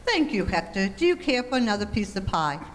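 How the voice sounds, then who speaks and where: A woman's voice: medium pitch, sing-song, a little fast, lightly acted. A woman playing a patient, politely coaxing housewife and mother in an amateur 1940s-style radio comedy. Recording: reverberant hall, faint background noise.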